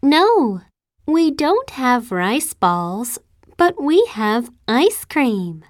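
A cheerful young schoolgirl from Italy, as a woman reads her, with a high voice that swoops up and down. She speaks at a very slow, careful pace, with each word clearly shaped. The recording is close and dry. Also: big pitch swings, no echo, heavily acted. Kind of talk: slow, carefully enunciated test dialogue.

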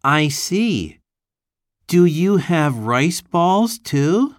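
A woman voicing a friendly young schoolboy from Japan, in a slow, carefully enunciated listening-test dialogue. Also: low voice, sing-song, no echo, clean studio sound, full character voice.

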